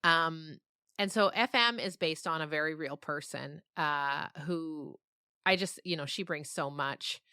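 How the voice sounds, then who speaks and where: A woman being interviewed as a novelist, telling stories casually in an animated, rambling way with emphatic descriptions. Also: low-pitched, a little slow, smooth, no room sound, clean studio sound.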